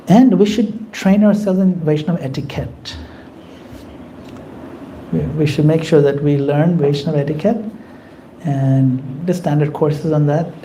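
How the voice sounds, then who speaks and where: A man leading a devotional class and answering questions in an earnest, kindly advising manner. His voice sits a little high and sounds mellow. There is a faint hiss behind him. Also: poor audio. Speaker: class leader taking questions. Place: community temple class.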